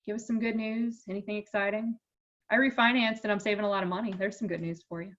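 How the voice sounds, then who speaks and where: A woman with a medium-pitched voice moderates a conference call casually. She is upbeat and appreciative, and the line sounds slightly muffled. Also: steady tone, fast, fairly bright tone.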